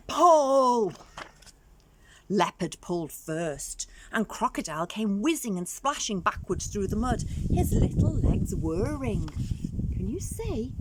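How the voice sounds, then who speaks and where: A woman's voice: medium pitch, husky. A woman reading a story aloud to young children expressively, adding questioning asides for the listeners.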